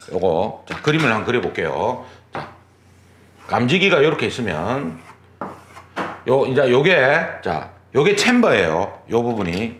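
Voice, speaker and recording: A man's voice: mid-range voice, fairly bright tone, slight background noise. A man teaching an exam prep class, explaining step by step while drawing, repeating key terms and checking in with his students. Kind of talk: lecture, drawing on a board. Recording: echoey classroom.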